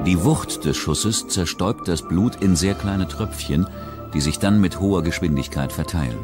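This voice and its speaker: Deep-voiced man narrating a documentary. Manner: measured, even narration. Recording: music bed under the voice. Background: documentary music.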